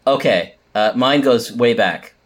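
A man's voice: mid-range voice, measured pace. A man from the United States chatting and bantering casually as a podcast co-host, telling anecdotes in an informal way. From American, spent part of boyhood in England.

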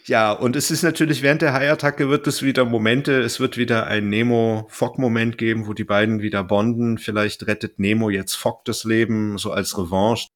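A man's voice: lowish voice, fairly even intonation, moderate pace, hardly any pauses. A man chatting casually as a podcast host, thinking aloud and often rephrasing mid-sentence.

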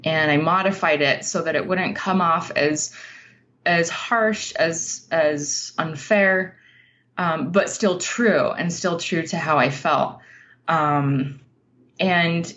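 A woman's voice: sits low, slow pace, fairly bright tone, muffled recording. A woman speaking as an interview guest, a writer talking in an earnest, informal and reflective way. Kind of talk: casual conversational interview.

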